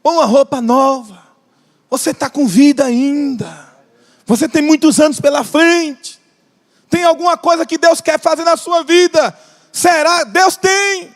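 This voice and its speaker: High-voiced man preaching in an echoing hall. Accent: Brazilian Portuguese. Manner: impassioned, drawn-out emphatic phrases, jokes with the congregation. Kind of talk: sermon. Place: large church hall. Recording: long church hall reverb.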